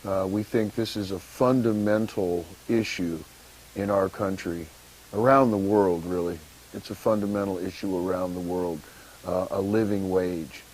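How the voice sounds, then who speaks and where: A man talking slowly and haltingly on an activist talk show, with many pauses and fillers. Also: low voice, fairly even intonation, a little mellow, slight background noise, low-quality recording. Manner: slow, halting, many uhs and ums.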